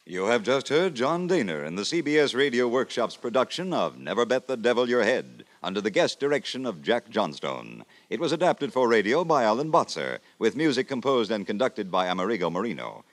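A man's voice: medium pitch, sing-song, a little fast, few pauses, no room sound. A man reading scripted credits as a formal, polished 1950s radio network announcer. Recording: 1950s radio broadcast with music.

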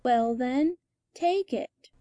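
A woman playing a stubborn, defiant little boy in a dramatized storybook reading, with a childish, deliberate delivery. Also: sits high, expressive, warm tone, dry recording, lo-fi sound, exaggerated voice. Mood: cold, defiant.

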